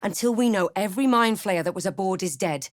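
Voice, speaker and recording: A woman playing a wry, wary halfling adventurer in a fantasy video game, with a measured, dramatic delivery. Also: medium pitch, lively intonation, dry recording, clean studio sound, natural voice. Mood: wry, watchful. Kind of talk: scripted video game voice lines and narration.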